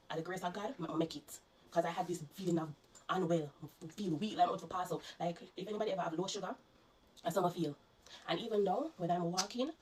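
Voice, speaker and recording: A Jamaican woman with a low voice and a congested, sniffly sound talks straight to her viewers. She tells her story quickly and earnestly, repeating herself as she warns them. Faint noise sits behind her. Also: poor audio. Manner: earnest, repetitive, warning tone. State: congested, coughing. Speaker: vlogger.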